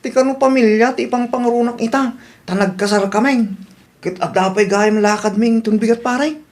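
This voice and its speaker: A woman's voice: on the high side, normal speed, darkish tone, close to an everyday voice. A woman playing an easygoing, apologetic family man who obeys his wife, in a radio drama. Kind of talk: Ilocano radio drama acting.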